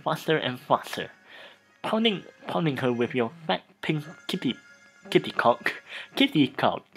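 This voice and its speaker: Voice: mid-range voice, big pitch swings, measured pace, fairly bright tone, faint music behind, poor audio. A let's play host giving a dramatic reading of game text, stumbling over words and repeating phrases.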